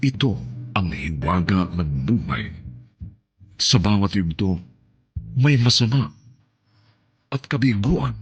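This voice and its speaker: Casting: male radio narrator, solemn and poetic, unhurried, lively intonation, light music bed. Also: lowish voice, husky, muffled recording. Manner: dramatic, solemn, deliberate phrasing. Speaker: radio drama announcer and narrator.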